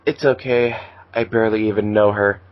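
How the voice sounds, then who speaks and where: A man's voice: on the low side, fairly even intonation, moderate pace, dull audio, lightly acted. A man voicing a shy, earnest, lovestruck teenage anime boy in an amateur fan fiction reading. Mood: mild.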